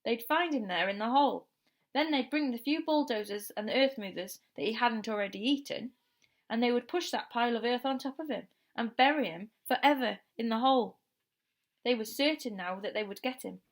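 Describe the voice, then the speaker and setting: A woman reading a children's storybook aloud like a teacher, with expressive, dramatic and deliberate phrasing and emphasis on questions and key words. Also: a little high.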